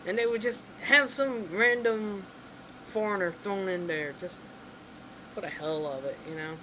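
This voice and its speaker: A casual vlogger rambling through an exasperated rant, full of fillers and restarts. The voice sits at an ordinary middle pitch. A steady air-conditioning hum fills the noisy room behind it. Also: unhurried. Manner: rambling, exasperated, heavy on "you know" and "like". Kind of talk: casual opinion rant to camera.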